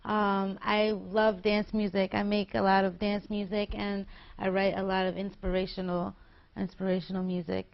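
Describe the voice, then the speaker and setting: A woman's voice: mid-range voice, little pitch movement, moderate pace, vocal fry. Giggly young woman, muffled recording. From New York. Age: 20s. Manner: bubbly, giggly, chatty. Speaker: guest singer. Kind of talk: casual interview.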